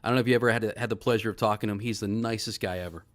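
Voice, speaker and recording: A middle-aged man, a sports broadcaster guesting on a talk show, telling stories with animated enthusiasm. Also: lowish voice, rapid-fire. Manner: animated, excited storyteller. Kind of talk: casual interview storytelling.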